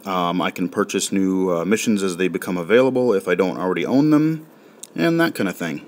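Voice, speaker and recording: A man's voice: deep voice, expressive, fairly quick. A man casually walking through a mobile game and talking with lots of fillers and restarts. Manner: rambling, filler-heavy. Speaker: game reviewer. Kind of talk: casual spoken app walkthrough.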